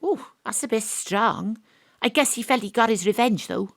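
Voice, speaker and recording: An actress playing a wry, brisk female amateur detective who narrates and questions with dry asides, recorded from a home studio. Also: a little high, creaky, dry recording. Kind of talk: live remote audio drama acting.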